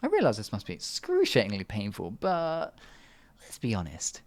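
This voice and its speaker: A man voicing a sadistic male wizard in an ASMR fantasy roleplay, cruel yet doting, teasing and cooing with soft whispers. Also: on the low side, huge pitch swings, moderate pace. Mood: mocking. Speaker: voice actor.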